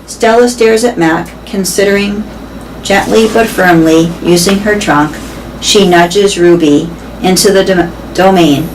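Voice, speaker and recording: A woman's voice: mid-range voice, measured pace, a little mellow, distant mic, rough recording. A woman reading a children's story aloud in a storytelling style. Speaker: reader of a children's novel. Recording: clear background noise.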